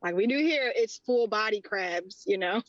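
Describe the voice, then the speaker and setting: A woman's voice: medium pitch, animated, a little slow, dry recording. A young woman guest on a podcast, chatty and upbeat, laughing often. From Texas and Rhode Island. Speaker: guest, tea business co-owner. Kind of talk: casual interview talk. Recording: dry, dull lo-fi audio.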